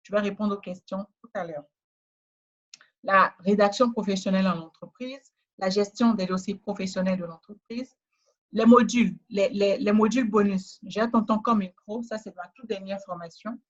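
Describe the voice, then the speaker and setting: A woman trainer giving a persuasive, repetitive sales pitch in a webinar, speaking straight to the listener, with a West African French accent. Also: moderate pace, muffled recording. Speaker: trainer selling a course.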